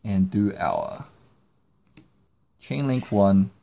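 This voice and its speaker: Guy explaining card game moves step by step, relaxed dark voice, really up and down intonation. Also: deep voice, very slow, gravelly. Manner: explanatory, walking through moves in sequence. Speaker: trading card game YouTuber. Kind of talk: step-by-step card combo tutorial narration.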